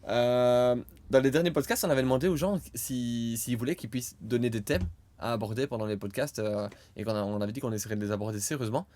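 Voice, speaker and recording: A young man co-hosting a podcast in casual chat, hesitant with many fillers and bursts of loud laughter. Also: medium pitch, fast. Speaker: podcast co-host.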